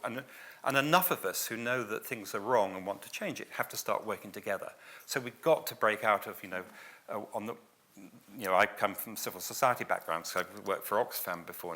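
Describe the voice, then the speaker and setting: A man's voice: lowish voice, pushed and bright. A man speaking on a discussion panel, rallying the room with repeated calls to act and many "you know" fillers. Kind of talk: passionate panel talk. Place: event hall with audience.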